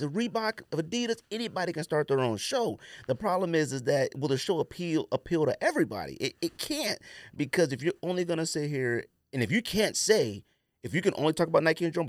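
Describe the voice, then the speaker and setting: A man's voice: a little high, very animated, brisk, no room sound. A man hosting a podcast in casual, slangy chat, blunt and emphatic, often repeating his phrases for effect. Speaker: podcast host.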